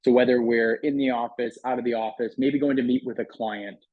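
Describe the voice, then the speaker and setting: A man talks through a software demo in a conversational, explanatory way. His mid-pitched voice is a little husky, and the close recording is very dry. The delivery is casual sales patter. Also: fairly even intonation, a little fast, dry recording, narrow, tinny audio. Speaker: software product demonstrator. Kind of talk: casual product demo walkthrough voice-over.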